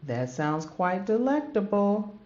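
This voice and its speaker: A woman's voice: mid-range voice, big pitch swings, slow, a little mellow, echoey room. A woman voicing a polite, hungry male hot dog vendor from a storybook, with savoring, courteous delivery. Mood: curious, delighted. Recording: dull lo-fi audio with music behind.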